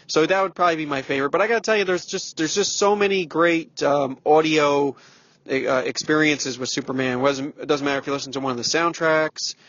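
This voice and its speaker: A man leaving a casual, rambling audio message for a show in a halting manner. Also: medium pitch, fairly bright tone, dry recording. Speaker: listener sending an audio message. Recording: dull lo-fi home recording.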